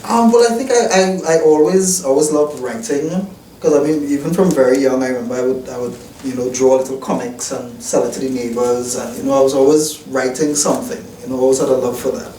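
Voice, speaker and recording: A man's voice: mid-range voice, steady tone, brisk, mellow. A young man, a newspaper reporter, giving hesitant, reflective interview answers full of restarts and "you know" fillers in an office. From Trinidad and Tobago. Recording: roomy, distant mic, faint noise.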